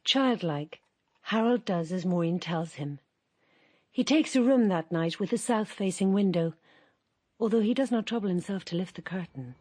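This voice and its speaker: A woman tells a radio story in calm, measured narration. Her voice is mellow and slightly husky, set at a middle pitch. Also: no echo. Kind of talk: scripted literary narration.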